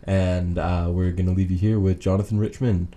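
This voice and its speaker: A man hosting a radio show, casually linking segments in a halting, unscripted way. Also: low voice, level tone, warm tone.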